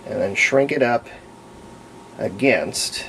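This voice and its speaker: A man's voice: on the low side, takes it slowly, creaky, a bit bright, slight background noise, rough recording. A man talking casually and unscripted in a folksy way as he demonstrates a hands-on repair at an indoor workbench. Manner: folksy, unscripted, narrating while working. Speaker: hobbyist radio restorer presenting a repair.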